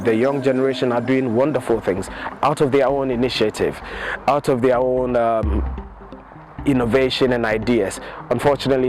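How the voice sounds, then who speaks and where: Young guy from ghana talking outdoors, lapel mic, emphatic, repeats himself for stress, mid pitch, faint music behind. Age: young adult. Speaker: interviewee. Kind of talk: earnest to-camera interview answer. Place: outdoors on a campus lawn.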